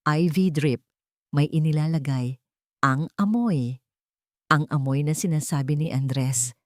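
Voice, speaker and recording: A woman's voice: low voice, unhurried, a little mellow, no echo, studio quality. A woman narrating a scripted dramatic story as a storyteller.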